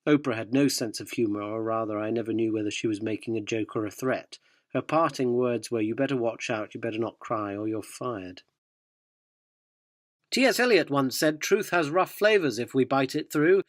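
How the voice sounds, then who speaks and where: A man's voice: mid-range voice, normal speed, no echo, studio quality, close to an everyday voice. An actor playing a cynical, self-important male newspaper reporter, narrating a radio drama in a wry, wordy, sardonic way. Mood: sardonic, dry.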